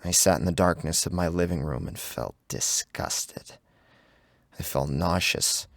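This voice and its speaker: A man's voice: sits low, a little slow, a little hiss or hum. A man narrating a first-person horror story in an intense, theatrical, scripted style. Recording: clear music bed under narration.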